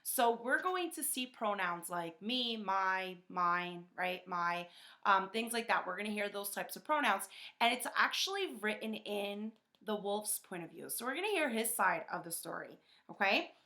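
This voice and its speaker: Bright-voiced woman teaching a story lesson. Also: medium pitch, animated, normal speed. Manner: instructive, asks questions, frequent checking tags. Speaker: teacher reading aloud to children. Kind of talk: storybook read-aloud with lesson explanations.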